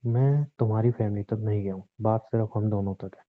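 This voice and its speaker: A man's voice: fairly low pitch, steady tone, brisk, natural voice. A man acting as a bitter, controlling young husband in a conversational audio drama, sarcastic and confrontational. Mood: warning. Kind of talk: acted Hindi relationship audio drama, conversational. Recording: thin, muffled phone-like lo-fi audio.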